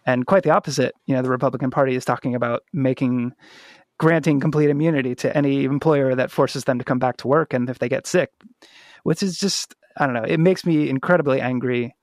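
A man's voice: mid-range voice, brisk, no room sound, clean studio sound. A man hosting a podcast in a reflective, casual conversational style. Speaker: podcast host.

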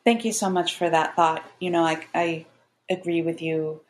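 A woman's voice: lowish voice, fairly even intonation, moderate pace. A woman speaking as a writer and podcast guest, earnest and thoughtful in casual conversation.

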